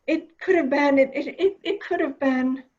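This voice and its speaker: A woman playing an anxious, flustered lady of the household in a dramatic reading, with an agitated, halting delivery. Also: sits high, darkish tone, close to an everyday voice. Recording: dull, lo-fi audio.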